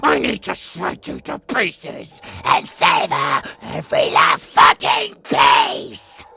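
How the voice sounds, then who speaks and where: An actor voicing a gleefully deranged, bloodthirsty immortal vampire monster in a horror audio roleplay, gravelly and growling, foul-mouthed and taunting. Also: mid-range voice, sing-song, slow, full character voice. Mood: manic bloodlust. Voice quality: gravelly, growling, breathy cackles. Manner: foul-mouthed, taunting, manic, swinging from whispers to shouts.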